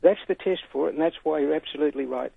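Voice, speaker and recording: An older man rings in to a radio show and talks earnestly, leaning hard on his points. His voice is gravelly and a little husky, and it comes through a thin, tinny phone line with no room sound at all. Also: a little high, fast, no echo. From Australia. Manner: earnest, emphatic, talkative. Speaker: caller.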